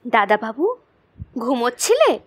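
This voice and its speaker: A woman's voice: on the high side, sing-song, a little slow. A woman voicing a devoted, anxious young village girl in an audio story, with tender, eager questioning. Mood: tender, concerned. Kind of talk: audio story drama acting.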